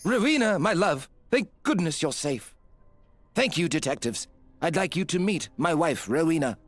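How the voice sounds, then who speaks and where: Man playing an anxious, expressive nobleman, slightly high. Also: normal speed, soft background music, lightly acted. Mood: relieved, tender. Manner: earnest, melodramatic, urgent. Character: anxious, devoted nobleman fearing for his wife. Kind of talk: theatrical game cutscene acting.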